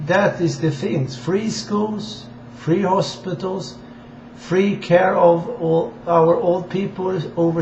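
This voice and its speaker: A man from Sweden with a Swedish accent gives an informal political address in halting, deliberate non-native English. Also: high-pitched, vocal fry, darkish tone. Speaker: amateur political campaigner addressing viewers. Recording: dull, echoey room with faint hiss.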